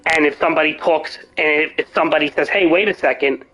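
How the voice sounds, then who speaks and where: Rambling young man streamer, going hoarse. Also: a little high, fairly even intonation, fast, pushed and bright, rough recording. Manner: rambling, ranting, swears freely. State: voice getting hoarse. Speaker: gaming streamer. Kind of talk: rambling live-stream chat.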